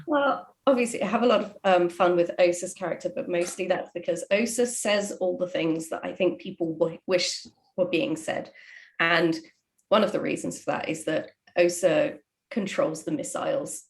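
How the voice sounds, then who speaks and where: A woman, a writer, talking casually and explaining her craft with animated emphasis. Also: lowish voice, normal speed, creaky. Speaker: audio drama writer. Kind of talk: casual discussion of writing.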